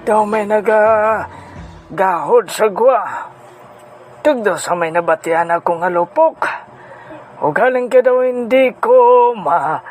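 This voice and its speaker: A man acts out a cowardly gang boss in a radio action drama. His voice is high and slow-paced, full of fearful stammering, with music clearly playing underneath. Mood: wary. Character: frightened male crime boss, stammering and pleading.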